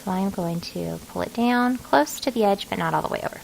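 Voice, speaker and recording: A woman narrating an informal software tutorial, talking casually and thinking aloud as she works. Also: medium pitch, a little fast, creaky, slight background noise, low-quality recording. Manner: casual, folksy, thinking aloud while working. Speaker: craft design software tutorial host.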